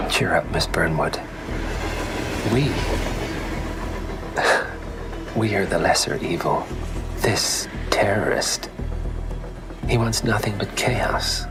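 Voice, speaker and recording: An actor playing a smooth, menacing agent of a shadowy cabal in a video game, condescending and insinuating. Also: male voice, deep voice, slow, long pauses, heavily acted. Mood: sly, persuasive. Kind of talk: dramatic video game acting. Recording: loud music behind the voice. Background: loud music.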